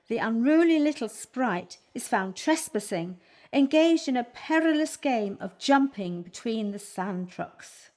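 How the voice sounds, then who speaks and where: A woman playing a stern but regretful Victorian village schoolmistress in a radio play, speaking in a measured, solemn way. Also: sits high. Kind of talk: community radio play monologue.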